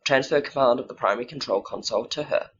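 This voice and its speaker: A man's voice: mid-range voice, quick pace, lo-fi sound, a little hiss or hum, close to an everyday voice. A man playing a dutiful but sly starship engineer in an audio drama, respectful on the surface and a little evasive. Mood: brisk, sly.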